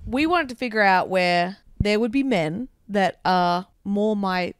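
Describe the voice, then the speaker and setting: A woman's voice: medium pitch, expressive, unhurried, clean tone, no room sound, clean studio sound. A young Australian woman with an Australian accent co-hosting a podcast, chatting casually and teasing her friend, full of fillers and swearing. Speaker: podcast co-host. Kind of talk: casual chat. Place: abroad, travelling in Italy.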